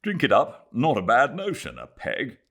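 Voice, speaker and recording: A man's voice: mid-range voice, wildly swooping pitch, moderate pace, gravelly, fairly bright tone, voice pushed up, exaggerated voice. A man voicing a weary older colonial administrator with a curt, clipped manner. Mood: gruff.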